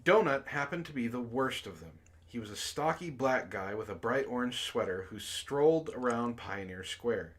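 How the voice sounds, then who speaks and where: A man's voice: medium pitch, animated, moderate pace, hardly any pauses, fairly bright tone. A man hosting a book club stream, reading aloud and chatting casually. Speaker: host and reader of a comic book club stream.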